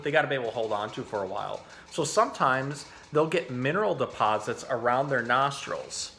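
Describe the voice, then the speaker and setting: Guy with a bright clear-cut voice doing a casual animal storytime, explains stuff like a zookeeper, mid pitch. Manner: informal, explanatory. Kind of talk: casual educational talk and storybook reading.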